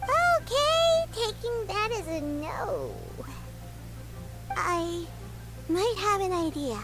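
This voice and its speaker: A woman's voice: very high-pitched, lively intonation, drawn-out words, over loud music, full character voice. A woman voicing an earnest, polite young girl in a fantasy game, childlike and eager.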